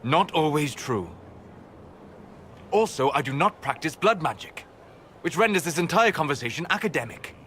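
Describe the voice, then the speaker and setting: An actor playing a calm, learned male elf mage who talks in a precise, formal way. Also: on the high side, normal speed, pauses often, a little hiss or hum. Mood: patient.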